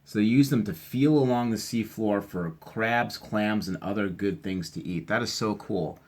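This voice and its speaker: Male children's storytime host, lower register, informal and chatty, expressive, talks directly to young listeners. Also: moderate pace. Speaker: read-aloud host for children. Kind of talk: storybook read-aloud with casual asides.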